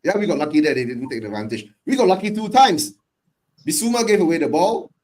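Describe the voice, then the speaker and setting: Chatty Malaysian host with a higher voice. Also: male voice, animated, fast talker, a little mellow. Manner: short reactive replies, repeating "yeah". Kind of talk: casual chat with live match reactions.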